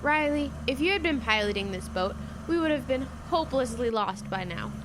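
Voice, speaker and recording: A woman's voice: high voice, animated, normal speed, noisy background. A young female actor playing a worried, exasperated and responsible girl who pilots a boat in an audio drama. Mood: exasperated, upset.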